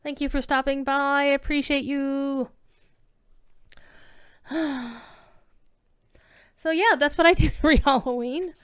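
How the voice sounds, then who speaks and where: A woman's voice: high voice, fairly even intonation, takes it slowly, long pauses. A woman livestream host chatting casually to her viewers in a rambling, informal, effusive way.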